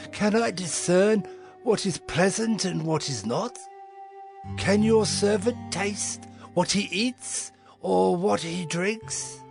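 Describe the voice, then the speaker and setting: A very old man in a scripture drama, humble and weary. His voice creaks and moves very slowly over clear background music. He is deferential and courteous throughout. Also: high voice, full character voice. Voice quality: creaky, aged voice. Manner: slow, halting, deferential.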